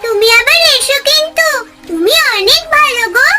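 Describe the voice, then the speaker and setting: A woman's voice: extremely high pitch, animated, normal speed, pushed and bright, soft background music, exaggerated voice. A woman voicing a sweet, wide-eyed little village girl in a cartoon, childlike and eager. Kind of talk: cartoon fairy-tale voice acting.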